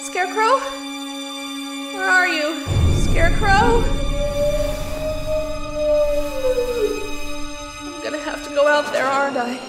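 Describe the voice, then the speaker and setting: A woman's voice: very high-pitched, expressive, moderate pace, over loud music. A woman playing a plucky young Kansas farm girl in an audio drama, emphatic and sardonic, easily exasperated. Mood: uneasy.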